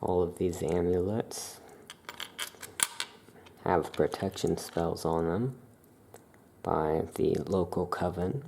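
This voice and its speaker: Slow, creaky, low-pitched soft ASMR voice. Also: noisy background. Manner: unhurried, hushed, with long pauses. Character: calm Salem witch shopkeeper showing wares. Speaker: ASMR performer playing a witch shopkeeper. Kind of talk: soft-spoken ASMR roleplay.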